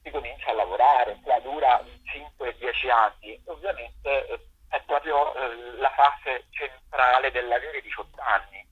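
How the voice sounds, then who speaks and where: A man, a playwright being interviewed, explaining thoughtfully and hesitantly, searching for words in a husky voice. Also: medium pitch, measured pace. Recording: dull lo-fi radio interview line.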